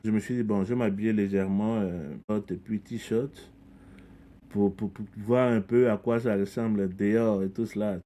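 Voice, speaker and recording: Podcast guest: middle-aged man from Ivory Coast, low and mellow, earnest and reflective, with frequent restarts. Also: fairly even intonation, normal speed. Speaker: podcast guest, community leader. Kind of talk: conversational interview.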